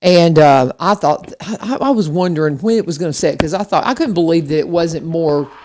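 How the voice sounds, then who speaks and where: A woman's voice: sits low, moderate pace, darkish tone. Lady radio host, chatty, keeps restarting her sentences, studio mic. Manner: chatty, rambling with restarts and fillers. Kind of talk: casual local radio chat. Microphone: studio microphone.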